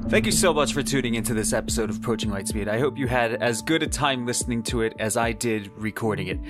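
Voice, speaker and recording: A man's voice: mid-range voice, fast talker, music in the background. A man hosting a solo podcast, giving scripted commentary in a dramatic, emphatic storytelling manner. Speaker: podcast host.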